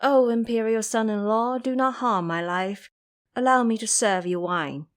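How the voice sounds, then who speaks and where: A woman's voice: a little high, a little slow, natural voice. A woman voicing a cunning young male dragon, a sly trickster in an audio drama. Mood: coy, feigned meekness.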